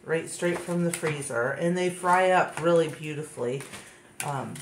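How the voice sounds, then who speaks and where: A woman's voice: low-pitched, a little slow. A woman with a stuffed-up nose chatting casually through a kitchen demonstration, thinking aloud with fillers as she works at home. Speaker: home cook vlogger. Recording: echoey kitchen with background noise.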